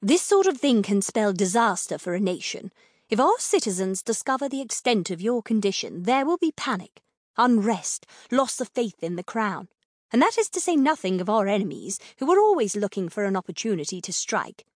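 A woman's voice: high voice, sing-song, moderate pace, hardly any pauses, no echo, clean studio sound, pitched above the performer's own voice. A woman voicing a stern, protective royal lady in an audiobook, commanding and emphatic in manner. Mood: stern, urgent.

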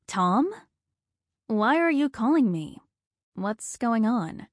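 A woman voicing a frantic middle-aged wife who talks in high, breathless, urgent pleas. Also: big pitch swings, takes it slowly, no room sound, clean studio sound. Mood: worried.

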